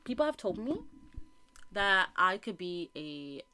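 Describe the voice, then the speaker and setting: Young woman, podcast co-host. Dry, deadpan delivery, yet bright in tone with big pitch swings when she acts out what others say. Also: slow, clean tone, poor audio. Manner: flat, dry delivery with lots of "like" and laughter. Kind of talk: casual chat.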